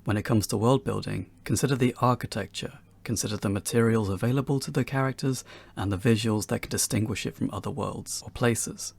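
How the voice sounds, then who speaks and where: A man's voice: fairly low pitch, vocal fry, a little mellow, breathy edge. A man reading a scripted instructional talk for beginners as its presenter.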